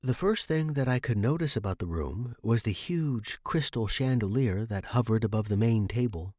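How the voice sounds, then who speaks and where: A man's voice: lowish voice, expressive, moderate pace, a little mellow, no room sound. A man narrating a scripted story for a fiction podcast, recorded close in a dry space.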